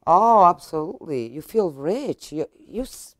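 Low-voiced, lively older Italian-accented woman. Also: a little slow, no echo, clean studio sound. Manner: emphatic, repeats herself. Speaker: cooking show co-host. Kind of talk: casual cooking chat. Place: home-style kitchen, indoors.

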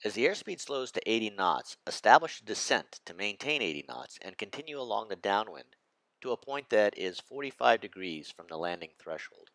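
A man, a flight instructor, narrating a lesson on approach and landing in a measured, precise way. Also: lowish voice, very animated, fairly bright tone, dry recording, poor audio. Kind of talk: scripted narration over slides.

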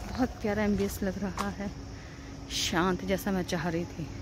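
A woman's voice: medium pitch, fairly even intonation, normal speed. Chatty young Indian woman vlogging outdoors. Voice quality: chatty, mixes Hindi and English. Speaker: vlogger, startup founder. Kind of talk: casual vlog talk to camera. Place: outdoor garden cafe. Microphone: phone camera held by hand. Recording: outdoor phone audio with background noise.

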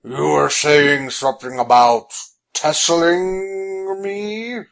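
A narrator voicing a hulking, menacing giant man in a storybook, speaking slowly and deliberately. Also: mid-range voice, steady tone, vocal fry.

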